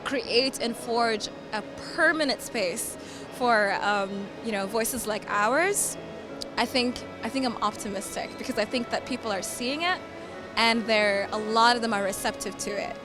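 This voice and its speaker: A woman's voice: a little high, measured pace. Earnest woman speaking over music. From Sudan, raised in Philadelphia. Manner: earnest, reflective, repeats words as she thinks. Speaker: poet and climate refugee advocate. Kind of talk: interview answers. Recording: clear background music under voice.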